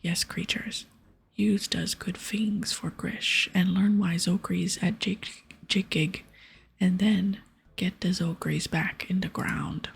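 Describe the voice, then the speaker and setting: A woman's voice: fairly low pitch, steady tone, heavily acted. A woman voicing a dim, worried male ogre in whispered, broken baby-talk for a game. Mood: gruff, cautioning. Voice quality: whispered, breathy. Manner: slow, broken childlike grammar.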